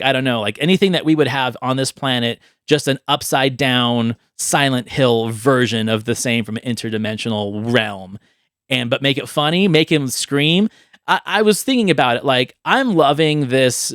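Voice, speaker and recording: A man's voice: medium pitch, a bit bright, no echo, studio quality. A man hosting a podcast, chatting casually and enthusiastically in a rambling way. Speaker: podcast host. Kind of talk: casual chat about movie ideas.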